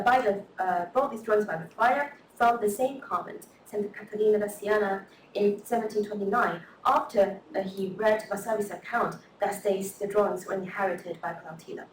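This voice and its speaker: A woman's voice: medium pitch, moderate pace, a little hiss or hum. Woman reading a paper in an echoey hall. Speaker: conference speaker. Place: lecture room. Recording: echoey lecture hall, distant mic.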